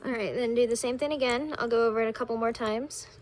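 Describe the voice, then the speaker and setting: Tired, raspy young woman teaching a craft. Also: on the high side, fast, slight background noise. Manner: relaxed, talks while working with hands. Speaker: craft tutorial creator. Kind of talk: casual step-by-step tutorial.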